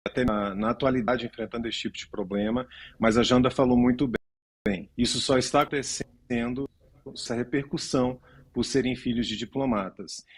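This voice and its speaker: A man from Pernambuco, a lawyer on a studio panel, warm and explanatory in a measured way. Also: medium pitch, steady tone, husky. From Pernambuco, Brazil. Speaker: lawyer, commentator.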